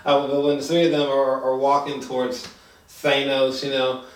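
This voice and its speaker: A man chatting casually about a movie in an excitable, halting, profanity-laced way, repeating himself as he goes. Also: mid-range voice. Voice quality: slangy, profane speech. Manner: excitable, halting, repetitive storytelling. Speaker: movie reviewer. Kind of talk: casual unscripted movie chat. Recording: roomy echo, distant mic.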